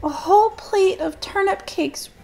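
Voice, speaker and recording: A woman's voice: very high voice, unhurried, gravelly, a little mellow, voice pushed up, exaggerated voice. A woman reading a storybook and voicing a small, shy little boy who wails over his losses. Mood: wailing. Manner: wailing, then shy and kind.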